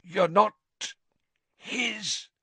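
A man's voice: fairly high pitch, expressive, normal speed, a bit bright, no room sound, pitched above the performer's own voice. A man reading aloud and voicing a deranged, exhausted older male official who gasps out broken fragments of speech. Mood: whispering.

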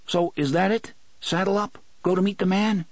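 A man voicing a tearful young man who is angry and pleading, speaking in a quick, strained voice raised above the narrator's own. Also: high-pitched, fairly even intonation, darkish tone, no room sound, pitched above the performer's own voice. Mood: bitter, pleading. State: crying.

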